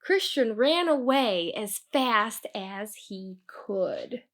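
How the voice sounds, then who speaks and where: A woman reading a children's book aloud in an expressive storytelling style with deliberate, dramatic emphasis. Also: on the high side.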